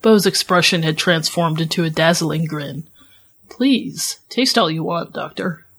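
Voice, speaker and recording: A woman's voice: sits low, measured pace, husky. A woman co-hosting a podcast, playful and giggly as she chats casually and reads fan fiction. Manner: playful, giggly, breaks up while reading.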